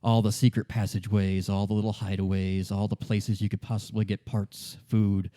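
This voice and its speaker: A man playing a bitter, weary old communist deserter in a radio play, a defiant former commissar who speaks haltingly and insistently. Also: on the low side, flat delivery, mellow, natural voice. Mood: haunted, reminiscing. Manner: halting, repeating himself, grimly insistent.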